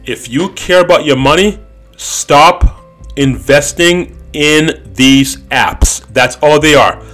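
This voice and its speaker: A man's voice: on the high side, slow pace, fairly bright tone, faint music behind. A man in his thirties hosting a podcast, talking in a blunt, opinionated casual monologue. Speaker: podcast host.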